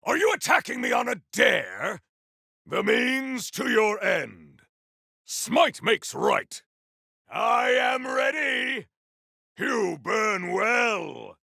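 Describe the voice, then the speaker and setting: A proud game warrior, rough raspy voice, super grand and formal, big swooping delivery. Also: male voice, very high-pitched, sing-song, drawn-out words, no room sound, studio quality, heavily acted. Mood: battle fury. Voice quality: gravelly, forceful. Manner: theatrical, declamatory, formal. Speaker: video game voice actor. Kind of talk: video game combat barks.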